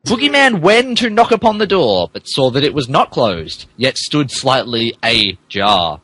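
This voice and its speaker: Guy podcast host doing mock dramatic reading, very animated, sharp bright voice, amused and trips over words. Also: medium pitch, measured pace, a little hiss or hum, rough recording. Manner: amused, stumbling over garbled text.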